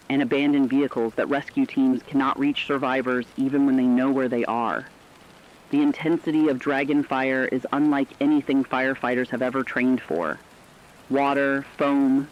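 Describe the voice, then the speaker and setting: Man acting as solemn radio news co-anchor, mid-pitched, measured eloquent delivery, scripted disaster drama, muffled lo-fi recording. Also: slight background noise, lightly acted. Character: solemn radio co-anchor reflecting on a world catastrophe.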